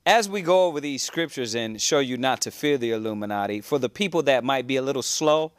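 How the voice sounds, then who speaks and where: A man's voice: mid-range voice, lively intonation, brisk, clean tone, no echo, clean studio sound. A man teaching a religious class on a cable show, earnest and repetitive in his preaching.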